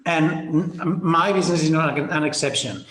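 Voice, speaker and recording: A fairly high-voiced Spanish-accented man hosting a live online webinar over a computer mic, his voice ringing in a roomy, echoing space. He talks in a chatty, upbeat way, greets people by name and is full of fillers and quick restarts. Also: fairly bright tone, a little hiss or hum. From Spain. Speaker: webinar host.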